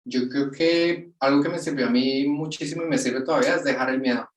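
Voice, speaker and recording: A man, a guest on a webinar, talking in a relaxed, colloquial way over a video call. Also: medium pitch, brisk. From Colombia. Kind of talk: casual webinar interview. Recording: roomy sound from off the mic.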